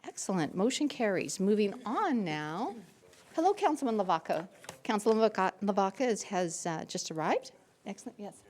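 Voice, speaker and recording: A woman's voice: medium pitch, very animated, normal speed, darkish tone, a little hiss or hum. A woman serving as mayor, chairing a city council meeting and calling motions and votes in a procedural way.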